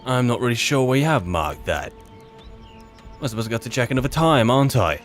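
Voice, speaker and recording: Male roleplayer for fantasy game commentary: medium pitch, wry, improvised and slightly hesitant. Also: animated, fairly quick, soft background music. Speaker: roleplay video maker and player.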